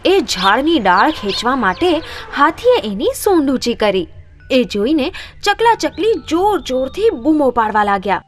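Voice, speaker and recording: A woman narrating scripted children's moral stories in an expressive storytelling manner. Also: high-pitched, measured pace, soft background music.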